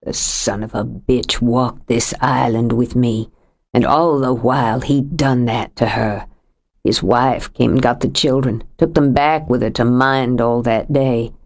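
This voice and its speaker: Woman reading as a stubborn widower full of grief, lowered voice, slow heavy delivery. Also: fairly even intonation, a little mellow, heavily acted. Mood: grieving. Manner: low, heavy and bitter. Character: grieving stubborn middle-aged widower, rough-edged. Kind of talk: audiobook character reading.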